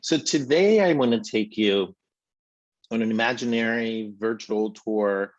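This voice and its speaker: A middle-aged man with a Spanish accent walks listeners through a slideshow tour, his voice sitting low. He hesitates often, filling gaps with ums and restarting phrases. The audio is dry and a little muffled. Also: measured pace, no room sound. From Spain. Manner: hesitant, many fillers and restarts. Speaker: tour company CEO presenting a virtual tour.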